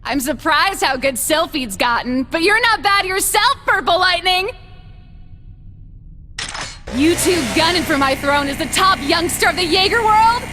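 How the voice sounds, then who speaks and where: An actress playing a brash, battle-hungry young mercenary in a video game, taunting and excited. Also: female voice, very high-pitched, a little slow, soft background music, full character voice. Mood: gleeful, taunting. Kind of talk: English video game dub acting.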